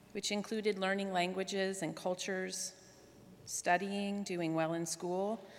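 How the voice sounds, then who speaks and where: A woman delivering a prepared tribute speech to a congregation. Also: lowish voice. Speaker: guest speaker at church. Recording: echoey hall with long reverb.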